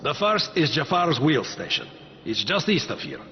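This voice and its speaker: Highish-voiced, wry henchman. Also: very animated, normal speed. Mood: smooth, menacing. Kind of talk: cinematic video game acting. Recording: muffled game capture, faint noise.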